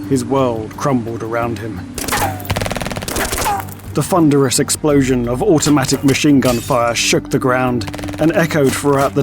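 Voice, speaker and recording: A man giving scripted, dramatic narration for an audio story in a measured way. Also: mid-range voice, background noise. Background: music and sound effects.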